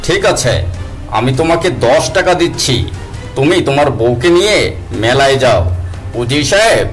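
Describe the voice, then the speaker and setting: A commanding cartoon king, voiced by a man, generous but baffled, pushing offer after offer. A mid-pitched voice over a faint music bed. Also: lively intonation, normal speed, close to an everyday voice. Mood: bargaining. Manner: commanding, incredulous. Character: generous, puzzled male king.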